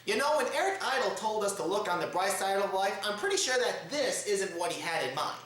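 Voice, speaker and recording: A man's voice: sits high, sing-song, brisk, a bit bright. A man hosting a satirical news report, addressing his audience formally in a theatrical, mock-dramatic manner. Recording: very echoey, reverberant room.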